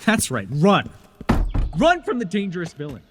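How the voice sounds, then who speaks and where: A man's voice: sits high, wildly swooping pitch, moderate pace, slight background noise. A man playing a sardonic telepath villain who is a reluctant, protective mentor in a superhero audio roleplay, intense and emotive. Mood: stern, angry. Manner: intense, emotive, swinging between biting and tender.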